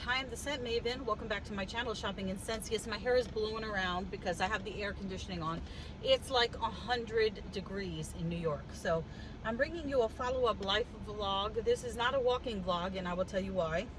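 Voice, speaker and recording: A woman with a cough telling a story in a casual vlog, animated and wry, laughing as she goes, sitting in a car. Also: medium pitch, a little fast, background noise. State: congested with a cough. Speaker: vlogger. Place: in a car with the air conditioning on.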